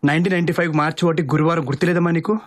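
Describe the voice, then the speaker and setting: A man's voice: fairly high pitch, flat delivery, racing, natural voice. A man playing a dutiful, coaxing young adult son in a film comedy. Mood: insistent. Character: dutiful, coaxing grown son talking to his mother. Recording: film soundtrack with clear music.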